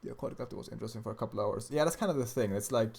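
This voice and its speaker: A young man from Sweden with a slightly low voice chats loosely on a stream, answering questions in a blunt, sweary, worn-out way. His first language is Swedish, and he talks like someone hanging out with his audience. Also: racing, vocal fry, a little mellow, husky. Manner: blunt, profane and tired. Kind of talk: casual livestream chat.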